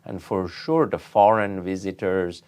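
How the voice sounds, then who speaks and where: A middle-aged or older man from Iran speaking as an interview guest, telling anecdotes in a halting, deliberate way. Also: deep voice, steady tone, a little mellow, smooth. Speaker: interview guest, tech company founder and CEO.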